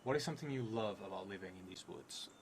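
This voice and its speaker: A low-pitched man in his thirties thinks aloud through a solo roleplaying story. He is hesitant and musing, letting sentences trail away. The recording has clear background noise. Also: quick pace. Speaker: solo tabletop roleplay player and streamer. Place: indoors at a table in a theatre room. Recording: clearly noisy room recording.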